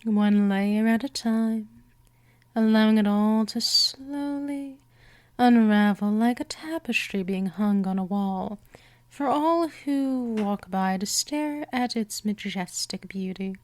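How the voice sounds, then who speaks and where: Slow, seductive woman as a vampire mistress. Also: mid-range voice, a little mellow, dry recording, close to an everyday voice. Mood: soothing, hypnotic. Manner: slow, teasing, coaxing, often dropping into breathy whispers. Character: gentle dominant female vampire, seductive, teasing, immortal predator. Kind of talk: scripted hypnosis roleplay, intimate ASMR.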